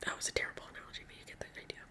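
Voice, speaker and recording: A woman's voice: rapid-fire, sharp tone. A young woman from California with a Californian accent, whispering ASMR chit-chat in a rambling, chatty way full of filler words while she does her nails. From California, USA. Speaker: ASMR creator.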